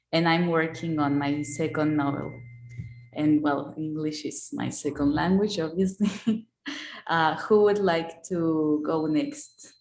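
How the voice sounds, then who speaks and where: Slow, low-voiced woman moderating a panel. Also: a little mellow, echoey room, slight background noise, rough recording. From Mexico. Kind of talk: online panel discussion.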